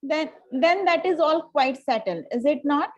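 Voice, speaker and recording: Haughty young society lady, played by a woman, high-pitched, formal and sure of herself, Victorian comedy table read. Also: lively intonation, a little slow. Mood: gushing, self-assured. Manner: formal, pronouncing, self-assured. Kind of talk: amateur play table read.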